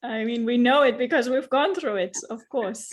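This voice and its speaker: A woman guest speaking earnestly about spiritual experience, searching for words and slowing down on key phrases. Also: a little high, slight background noise. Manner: earnest, searching, many restarts and fillers. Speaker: guest, yoga teacher and facilitator. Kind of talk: reflective spiritual conversation.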